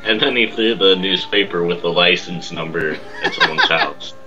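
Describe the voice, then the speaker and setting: A man's voice: low-pitched, normal speed. A young man making dry, sarcastic jokes in casual reaction commentary over a film, with lo-fi sound. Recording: dull lo-fi voice chat sound. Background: film music and narration.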